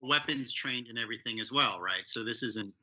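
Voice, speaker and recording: A man's voice: medium pitch, a little fast, sharp tone, poor audio. A man working as an interviewer, casually asking questions and giving brief affirmations.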